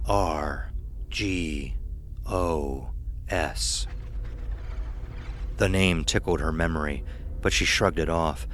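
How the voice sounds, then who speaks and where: A man's voice: low voice, a bit bright, a little hiss or hum. A man narrating a scripted horror story for an audio drama.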